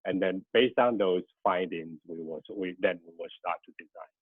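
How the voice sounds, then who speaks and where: Guest lecturer, 30s, native Mandarin speaker, very low voice, halting delivery, tinny dry call audio. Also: male voice, breathy edge, dry recording. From Taiwan. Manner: hesitant, many ums and restarts. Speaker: guest lecturer, graphic designer. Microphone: video call.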